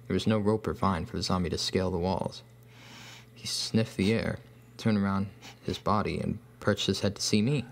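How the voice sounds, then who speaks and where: A man reading a scripted first-person horror story in a deliberate, ominous manner. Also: sits low, fairly even intonation, vocal fry. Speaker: narrator. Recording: faint hum behind voice.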